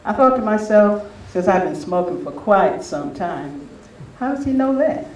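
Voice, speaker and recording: High-voiced older man in a roomy space. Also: very animated, unhurried, darkish tone. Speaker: speaker at a spiritual retreat. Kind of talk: informal anecdotal talk to a gathering. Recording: roomy, distant mic with faint noise.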